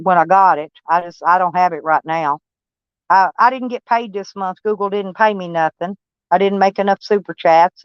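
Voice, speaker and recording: A woman's voice: medium pitch, no echo, lo-fi sound. Woman with a Texas twang. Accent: Texan. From Kentucky, USA. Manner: rambling, folksy, blunt and swearing. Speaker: livestream host. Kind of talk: casual live-stream chat with viewers.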